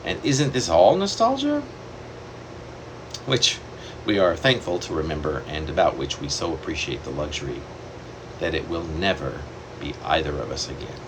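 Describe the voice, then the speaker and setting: A man reading his own poem aloud in a measured, reflective way, pausing deliberately between phrases. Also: extremely low pitch. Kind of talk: poetry reading. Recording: steady air-conditioner hum behind the voice.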